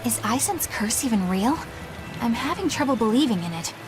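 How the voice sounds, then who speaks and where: An earnest young female knight in a video game. Her voice is high and swings expressively, with a prim, skeptical edge that easily turns flustered. Music plays underneath. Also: big pitch swings, moderate pace, low-quality recording. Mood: uneasy.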